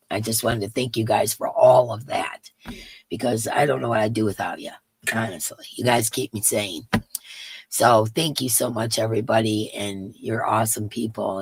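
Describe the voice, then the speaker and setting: A woman with a raspy voice hosting a casual livestream in her yarn room, greeting chat viewers by name in a sing-song way and sounding emotional. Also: a bass voice, steady tone, normal speed, dry recording. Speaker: livestream host.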